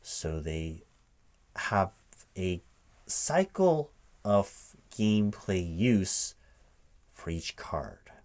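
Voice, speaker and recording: Male recruiting manager for audio roleplay: lowish voice, spoken not whispered, hesitant puzzled questioning, computer keys clacking behind. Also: sing-song, a little mellow. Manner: halting, puzzled questioning, checking back with "right?". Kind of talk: one-sided spoken ASMR interview roleplay. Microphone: close microphone with typing sounds behind. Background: computer keyboard typing.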